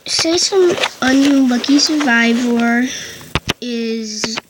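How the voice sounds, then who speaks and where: A woman narrating a casual game tutorial into a headphone mic, often stopping to correct herself. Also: a little high. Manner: halting, frequent self-corrections and restarts. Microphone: headphones with built-in mic. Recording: loud background noise on headset mic.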